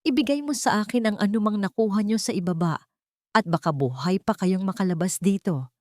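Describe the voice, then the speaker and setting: A woman's voice: mid-range voice, fairly quick, a little mellow, no room sound, clean studio sound, lightly acted. A woman voicing a big, cruel male henchman in an audio story, gloating, taunting and threatening. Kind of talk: audio storybook villain dialogue.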